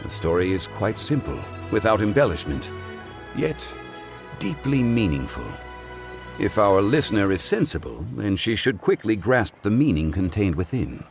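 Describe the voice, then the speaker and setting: An actor playing a calm, wise gentleman scholar in a video game, speaking formally and eloquently, with patient, measured thoughtfulness. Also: on the low side, soft background music. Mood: sage, composed. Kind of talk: video game character acting.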